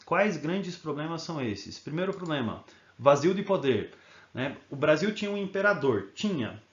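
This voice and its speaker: A man's voice: on the high side, echoey room, lo-fi sound. A male history teacher giving a recorded video lesson, explaining in an informal way and often checking in with tag questions.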